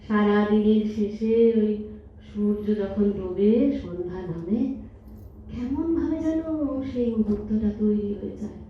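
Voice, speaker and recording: A woman's voice: mid-range voice, measured pace, natural voice. Woman acting nostalgic old wife, very echoey. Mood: nostalgic, tender. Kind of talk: Bengali stage audio drama. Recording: muffled, echoey hall from far off, noisy.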